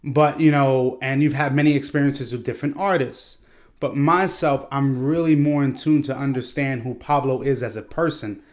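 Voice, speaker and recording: A man's voice: mid-range voice, steady tone, normal speed. A man hosting an online interview, asking long, effusive, winding questions over a video call. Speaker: interview host.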